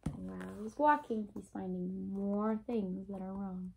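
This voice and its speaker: A woman in her twenties reading a picture book aloud to young children, expressive and speaking to them directly. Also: medium pitch, normal speed, vocal fry, a little hiss or hum. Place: small room indoors.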